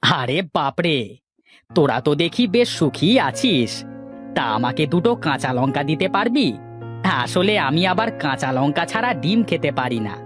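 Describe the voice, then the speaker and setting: A woman acting as an envious, scheming village man in a cartoon tale. The delivery swings widely in pitch and sounds scornful and bossy, over a light music bed. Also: big pitch swings, measured pace. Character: sly, grudging village men, scheming and envious. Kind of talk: cartoon moral story voice acting.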